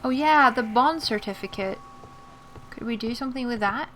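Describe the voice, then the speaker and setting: A woman's voice: a little high, normal speed, fairly bright tone. Woman gamer with mixed transatlantic accent, noisy recording. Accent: mixed American and British. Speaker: gaming video host. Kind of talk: casual game playthrough commentary, reading game text aloud.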